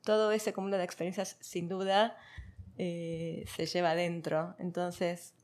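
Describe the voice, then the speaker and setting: A woman's voice: on the low side, flat delivery, a little slow, darkish tone. A woman from Argentina, a guest in an interview, speaking reflectively with many fillers and light laughs. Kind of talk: conversational interview.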